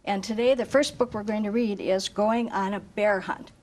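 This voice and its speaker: A woman reading a picture book aloud to children in an expressive, chanting, sing-song way, engaging them with questions like a teacher. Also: medium pitch, moderate pace. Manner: asks listeners questions like a teacher. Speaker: volunteer story reader for children.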